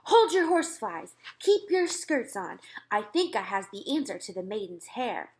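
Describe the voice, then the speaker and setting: A woman's voice: mid-range voice, sing-song, normal speed, darkish tone, lightly acted. A woman voicing a friendly, patient giant with muddled, folksy grammar and invented words, reading from a children's storybook. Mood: eager. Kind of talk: children's storybook read-aloud.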